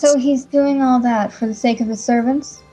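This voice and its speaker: Woman voicing a mellow, high-pitched skeptical game heroine. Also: fairly even intonation, normal speed, faint music behind, low-quality recording. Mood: skeptical, puzzled. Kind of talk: amateur visual novel fandub acting.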